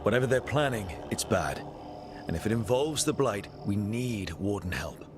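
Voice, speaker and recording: A man playing a steady, earnest fantasy video game hero who speaks directly and calmly. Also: on the low side, normal speed, background noise, natural voice. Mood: firm. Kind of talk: video game dialogue acting.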